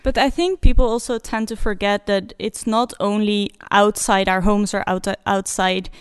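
A young woman from the Netherlands, a panel guest speaking in an earnest, measured way. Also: medium pitch, fairly even intonation, smooth. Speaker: panel guest, doctoral researcher. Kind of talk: discussion.

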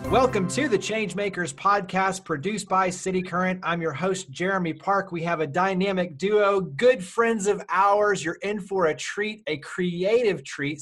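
A man's voice: fairly high pitch, fairly bright tone. A man hosting a podcast, speaking in an upbeat interview style. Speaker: podcast host.

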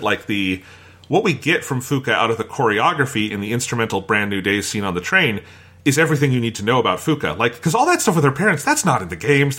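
A man's voice: medium pitch, pushed and bright. A man co-hosting a podcast in casual review discussion, eager and agreeable as he talks. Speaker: podcast co-host.

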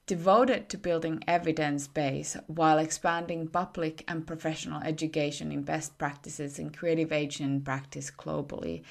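Low gravelly woman reading an introduction. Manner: measured, formal read-out biography. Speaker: podcast host.

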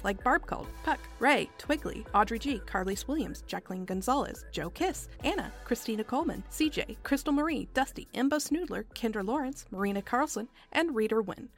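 A woman co-hosting a podcast in a casual, chatty review style. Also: fairly high pitch. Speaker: podcast co-host.

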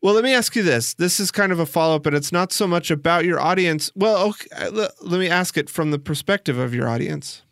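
Gravelly, higher-voiced male interviewer. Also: expressive, fairly quick, few pauses, fairly bright tone, no echo, studio quality. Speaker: podcast interviewer. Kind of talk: casual conversational interviewing.

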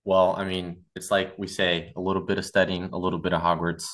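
A man's voice: low-pitched, little pitch movement, brisk, a bit bright. A young man from Brazil hosting a student podcast, upbeat and chatty with lots of fillers. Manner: upbeat, with many "awesome"s and uh fillers. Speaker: podcast host. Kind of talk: scripted podcast intro and casual chat.

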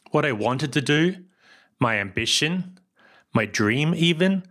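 Man, New Zealand accent, middle-aged, podcast host, bright clear tone, scripted storytelling. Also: mid-range voice. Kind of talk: scripted solo podcast narration.

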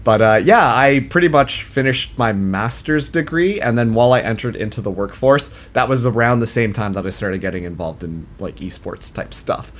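A man in his late twenties hosts a casual podcast. His pitch swoops up and down as he talks, and he laughs often and pokes fun at himself. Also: moderate pace, hardly any pauses. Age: late 20s. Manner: laughs often, animated and self-mocking. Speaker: podcast co-host.

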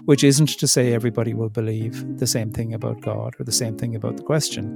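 A middle-aged man speaking reflectively as an interview guest, thoughtful and searching, pausing and restarting as he works through his ideas. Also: mid-range voice, fairly even intonation, creaky, over music. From Ireland. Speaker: theologian in residence, interview guest.

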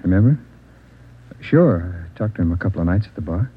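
A man's voice: sits low, lively intonation, a little fast, slightly rough. An actor playing a nervous male drug suspect who stammers, dodges questions and repeats them back, in 1950s radio drama style. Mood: nervous evasive. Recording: dull old radio recording.

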